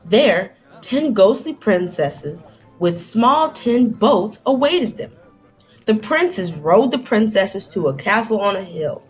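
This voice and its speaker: Slow, animated woman reading a spooky children's story. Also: medium pitch, faint music behind, low-quality recording. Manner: dramatic, inquisitive, addressing young listeners. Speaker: teacher reading a story aloud. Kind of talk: expressive children's read-aloud with questions.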